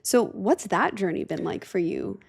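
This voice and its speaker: A woman's voice: medium pitch, expressive, normal speed, vocal fry, husky. A young woman co-hosting a podcast, bubbly and enthusiastic, asking questions and laughing often. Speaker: podcast co-host. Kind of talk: casual interview.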